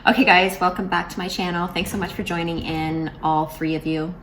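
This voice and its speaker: A woman's voice: lowish voice, flat delivery, a little fast, a bit bright, a little hiss or hum. A woman in her forties talking casually to camera in a vlog, chatty and rambling, with lots of fillers and restarts. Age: 40s. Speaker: vlogger.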